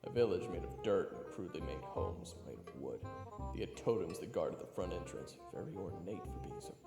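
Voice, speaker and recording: Low, gravelly, wisecracking male adventurer played by a man. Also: expressive, a little fast. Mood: wry narration. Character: brash wisecracking male adventurer who narrates the tale. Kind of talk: first-person story narration and banter. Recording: roomy echo, long reverb, loud music.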